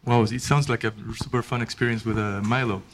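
A man's voice: mid-range voice, fairly even intonation, a little fast, slight background noise. A man moderating an onstage interview who asks questions hesitantly, with fillers.

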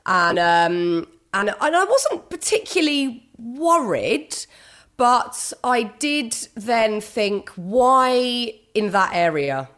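Candid, slightly husky woman. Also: mid-range voice, a bit bright. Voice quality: a little husky at times. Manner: candid, earnest, with frequent restarts and quoted inner thoughts. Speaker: guest author and broadcaster. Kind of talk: conversational interview.

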